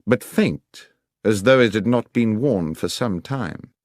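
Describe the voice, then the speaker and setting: A man voicing a commanding gentleman detective in an audiobook, with precise, formal, probing speech. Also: on the low side, expressive, slow pace, no echo, studio quality, pitched above the performer's own voice. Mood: observant.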